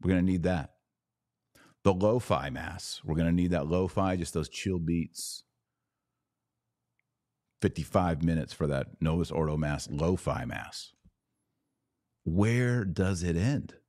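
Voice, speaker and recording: Deep-voiced man hosting a podcast. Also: slow pace, long pauses, a little mellow, no echo, clean studio sound. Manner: sarcastic, riffing on comments; preachy when he turns serious. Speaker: podcast host. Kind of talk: live podcast reading viewer comments.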